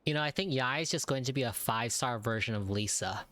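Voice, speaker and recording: A woman's voice: extremely low pitch, fast, a bit bright, no room sound. A woman streamer giving casual, slangy, irreverent reaction commentary while playing a game. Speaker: gaming streamer.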